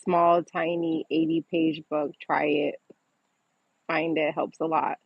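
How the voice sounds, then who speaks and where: A woman's voice: sits low, level tone, takes it slowly, creaky, husky, no echo. Woman livestreamer, casual and chatty, dull audio. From Massachusetts. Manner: informal, chatty, often laughing as she talks. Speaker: livestream host. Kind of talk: casual livestream chat reading and answering viewer comments.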